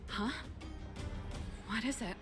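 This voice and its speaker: A woman's voice: medium pitch, takes it slowly, natural voice. A woman voicing a bitter, wounded researcher in a video game, dramatic and pained in delivery. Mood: uneasy, startled. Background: game music.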